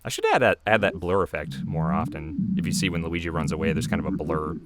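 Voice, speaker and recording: A man with a fairly low voice gives an off-the-cuff creator commentary. He rambles hesitantly and plays down his own work. Other voices from the playing episode are clearly heard behind him. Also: very animated, fast, a crowd in the background. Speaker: creator and voice actor giving commentary. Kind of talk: casual, unscripted commentary on own animation.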